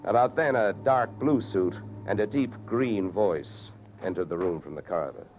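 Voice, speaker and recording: An actor with an American accent playing a hard-boiled, sardonic insurance investigator in vintage radio drama, delivering deadpan wisecracks. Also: male voice, lowish voice, normal speed, a little hiss or hum, lightly acted. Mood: dry, sardonic. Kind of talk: 1940s-50s radio detective drama, narration and dialogue. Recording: dull vintage radio recording with music.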